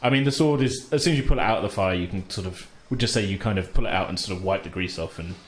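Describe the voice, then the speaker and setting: A man acting as game master, narrating a tabletop game casually with jokey, colloquial, halting phrasing. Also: sits low. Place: indoor room with group of players. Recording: echoey room, faint background noise.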